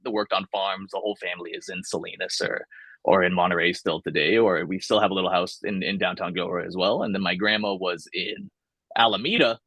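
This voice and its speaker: A man's voice: low-pitched, fairly even intonation, brisk, sharp tone, no echo, rough recording. A young man from California, talking casually and thoughtfully in an oral history interview, with many fillers and restarts.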